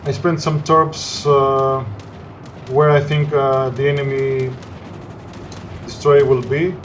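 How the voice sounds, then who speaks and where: A man with a Greek accent, a non-native English speaker, narrating his gameplay in halting sentences full of "uh"s. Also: mid-range voice, level tone, a little hiss or hum. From Greece. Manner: halting, searching for words with frequent "uh". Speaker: gameplay commentator. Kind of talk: gameplay commentary.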